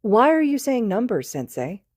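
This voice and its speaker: A woman's voice: a little high, big pitch swings, normal speed, a little mellow, no room sound, clean studio sound, voice pushed up. A woman voicing a giddy, intoxicated teenage boy student who giggles and rambles in a storybook reading. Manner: giggly, rambling, sing-song. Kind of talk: fan fiction read aloud.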